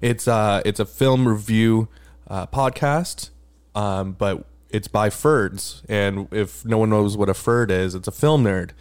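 Low-voiced rambling male podcast host. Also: slow, smooth. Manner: rambling, filler-heavy, joking. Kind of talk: casual podcast chat.

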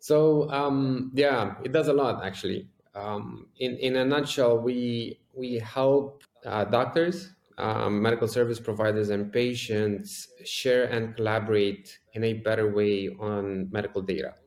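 A man's voice: fairly low pitch, steady tone, a little slow, few pauses, a little mellow, roomy sound. A man in his thirties from Romania, a startup founder answering interview questions hesitantly with dry humor. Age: 30s.

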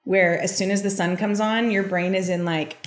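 A young adult woman hosting a podcast, explaining a reading in a casual, chatty way, with frequent fillers and quick asides. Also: medium pitch, fairly even intonation, a little hiss or hum. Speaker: podcast host giving a reading. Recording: roomy echo.

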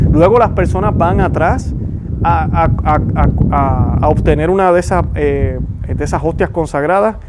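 A man's voice: fairly high pitch, background noise. Earnest Puerto Rican man posing questions. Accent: Puerto Rican Spanish. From Puerto Rico. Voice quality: frequent restarts and "¿verdad?" tags. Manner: earnest, asks many rhetorical questions. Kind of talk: religious commentary to viewers.

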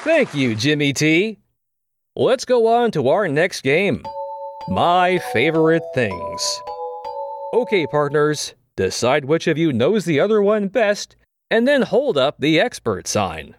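Game show host: high, swooping voice, theatrical, smug menace under the showmanship, for audio drama. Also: male voice, sing-song, slow, soft background music. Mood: gleeful showmanship.

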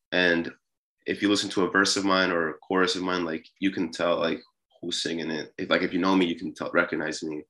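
A young man from Peru, a musician guest, talking casually in an interview with a relaxed, rambling manner and many fillers. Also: sits low.

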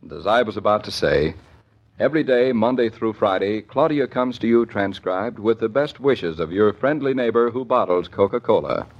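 An American man announcing in a polished, measured 1940s radio style, reading scripted sponsor copy. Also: on the low side, lively intonation. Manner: polished, measured sales delivery. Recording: dull old radio recording.

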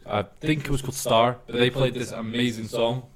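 A man's voice: mid-range voice, fairly even intonation. An eighteen-year-old man chatting on a podcast as a guest, joking and stumbling over his words as he corrects himself. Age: young adult, 18. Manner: halting, self-correcting, joking. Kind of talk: casual chat.

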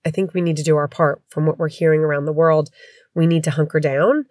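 Congested middle-aged New woman, low-pitched, rambling podcast host, dry room. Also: steady tone, fast, darkish tone, dry recording. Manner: chatty, rambling, candid. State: congested with a head cold. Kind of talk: casual podcast chat. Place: home.

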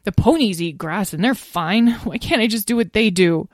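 A young boy dragon in a storybook, acted out by a woman, pitched up above an adult's talk. He is sickly and stubborn, whining and pleading as he defends himself. The sound is close and dry. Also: lively intonation, moderate pace, no room sound, close to an everyday voice. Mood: anguished, pleading. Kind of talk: children's storybook character voice.

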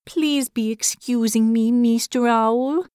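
Woman doing a timid small hedgehog, high soft voice, slow hesitant pleading, storybook creature. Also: clean tone, no echo, clean studio sound. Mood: meek pleading. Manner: meek, hesitant, quaint broken grammar. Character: shy timid little male hedgehog.

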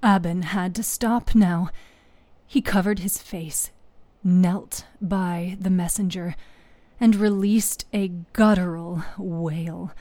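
Slow, mellow woman storyteller, solemn. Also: fairly low pitch, fairly even intonation, dry recording. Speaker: storyteller, narrator. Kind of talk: dramatic scripted fantasy narration.

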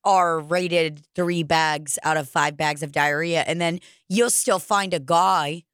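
A woman with a fairly low voice, hosting a podcast in relaxed conversation. She rambles and jokes, leaning on filler words as she goes. The recording is dry and close. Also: slow pace, smooth, dry recording. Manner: rambling, full of "like" fillers, jokey. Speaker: podcast host. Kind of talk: casual chat.

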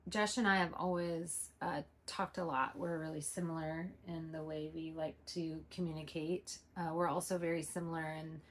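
A woman in her forties, co-hosting a relaxed podcast with her son. Her voice sits a bit low for a woman. She speaks in an open, earnest and reflective way. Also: moderate pace, hardly any pauses. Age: 40s. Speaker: podcast co-host. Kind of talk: casual conversation.

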